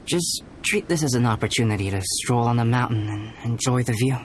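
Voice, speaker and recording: An actor playing a calm, cerebral young male alchemist in a video game, speaking formally, precisely and with composure. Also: mid-range voice, a little fast, a little hiss or hum, natural voice. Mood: calm, polite. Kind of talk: video game character acting. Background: background music.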